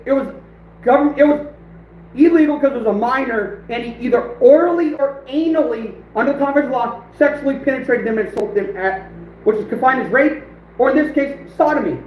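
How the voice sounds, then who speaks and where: High-voiced man chatting on a livestream. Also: fast, slight background noise. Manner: laughing, reacting to chat. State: losing his voice. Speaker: livestream host. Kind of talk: casual livestream chat. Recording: echoey room, dull lo-fi stream audio.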